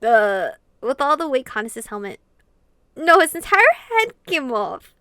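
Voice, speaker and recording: A woman's voice: sits high, big pitch swings, unhurried, a bit bright, clean tone. A woman reading a visual novel aloud and reacting casually, giggly and slangy with some casual swearing. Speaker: gaming video creator.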